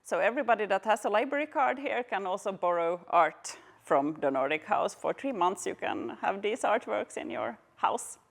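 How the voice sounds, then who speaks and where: A woman explaining things in an interview as a staff member of a cultural centre, in halting English. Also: mid-range voice, expressive, vocal fry. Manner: halting non-native English.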